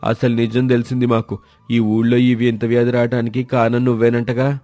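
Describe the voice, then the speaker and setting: A man's voice: medium pitch, completely flat delivery, a little fast, warm tone, dry recording, soft background music, lightly acted. A man voicing a village landlord's henchman in a moral story, rustic, agitated, servile and accusing.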